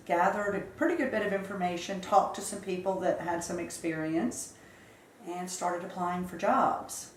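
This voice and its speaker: A woman in her fifties from South Carolina, recounting her life story in a measured, reflective way during an interview. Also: lowish voice, reverberant, slight background noise. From Charleston, South Carolina. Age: 50s. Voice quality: measured, reflective, with occasional fillers. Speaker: interview guest.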